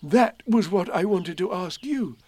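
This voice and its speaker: A man's voice: high-pitched, animated, a little slow, dark tone, no room sound, pitched above the performer's own voice. A man voicing a humble, childlike bear in a children's story, speaking simply and earnestly.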